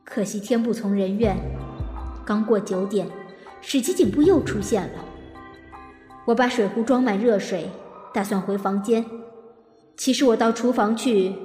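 A woman's voice: medium pitch, normal speed, dark tone, close to an everyday voice. Actress as brooding woman out for revenge, radio drama, long echoey reverb, music under her. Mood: guarded, narrating. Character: vengeful woman narrator, coolly plotting murder while acting polite. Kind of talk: broadcast drama acting with inner monologue.

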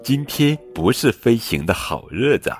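A man's voice: mid-range voice, big pitch swings, a little slow, soft background music, voice pushed up. A man voicing a small, fussy, skeptical bird in a children's storybook.

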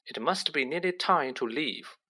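A man's voice: a little high, expressive, normal speed, sharp tone, pitched above the performer's own voice. A man voicing an anxious, earnest schoolboy hero in a storybook, with an urgent manner. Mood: miserable. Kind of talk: audiobook character acting.

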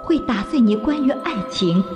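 Lady doing dramatic trailer narration, lowish voice, echoey with music behind. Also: expressive, moderate pace. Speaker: trailer announcer.

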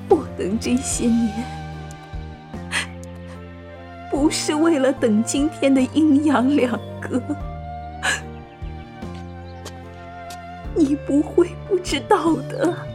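A woman's voice: high-pitched, slow pace, music in the background. A woman playing a grave, sorrowful older Buddhist nun master, solemn and emotional, in a Chinese period audio drama. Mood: anguished, tearful.

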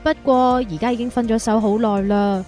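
Casting: actress for virtuous young single woman, radio drama, high register, coy and teasing. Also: fairly even intonation, a little fast, a little mellow, soft background music, close to an everyday voice. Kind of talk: Cantonese radio drama acting.

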